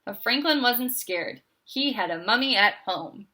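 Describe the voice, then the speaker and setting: A woman's voice: a little high, animated, unhurried, sharp tone, slight background noise. A woman reading a children's picture book aloud as a storyteller.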